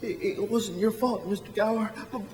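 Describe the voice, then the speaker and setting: A man's voice: high-pitched, fairly even intonation, moderate pace, a little mellow, lightly acted. A man playing an earnest, anxious twelve-year-old boy in a radio drama, eager and polite, tripping over his words. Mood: pleading. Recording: hall reverb, music underneath.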